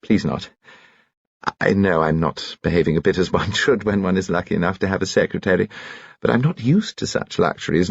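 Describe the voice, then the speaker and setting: A man's voice: sits low, creaky, slightly rough, no room sound, highs cut off, exaggerated voice. A man voicing a breezy, charming society woman in her late thirties. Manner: gushing, breezy.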